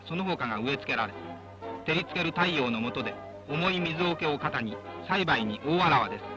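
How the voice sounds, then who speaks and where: A man's voice: on the high side, dull audio. A man from Japan narrating a 1940s wartime newsreel in a formal, declamatory, rousing manner. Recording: old band-limited 1940s newsreel soundtrack, music behind. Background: loud background music.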